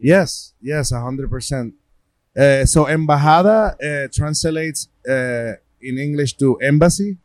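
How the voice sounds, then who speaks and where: A man's voice: on the high side, a little slow, no room sound. A man with a Puerto Rican accent, a native Spanish speaker, telling stories casually as an interview guest, often repeating words as he searches for phrasing. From Puerto Rico. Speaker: gallerist guest.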